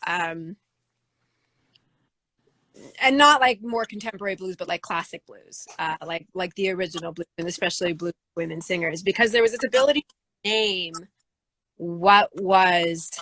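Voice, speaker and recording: A woman singer-songwriter with a low voice, answering interview questions thoughtfully, with many fillers and restarts. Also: sharp tone, no room sound, rough recording. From United States.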